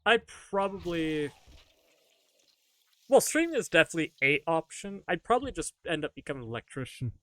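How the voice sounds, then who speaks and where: A man's voice: on the high side, sing-song, a little fast, a bit bright, no room sound. A man streaming live from home, chatting casually and answering viewer questions with self-mocking jokes and frequent laughter.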